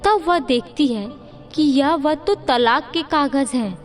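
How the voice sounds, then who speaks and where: Slow, high-voiced woman storyteller. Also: animated, soft background music. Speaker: narrator. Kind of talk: scripted moral-story narration. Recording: reverberant hall-like sound.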